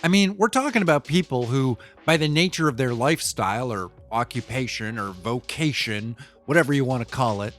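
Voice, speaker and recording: A man's voice: medium pitch, expressive, a little fast, few pauses, a bit bright, faint music behind, close to an everyday voice. A man playing a cynical, pragmatic business type in an audio drama monologue, matter-of-fact, opinionated and conversational as he explains things. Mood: wry, animated.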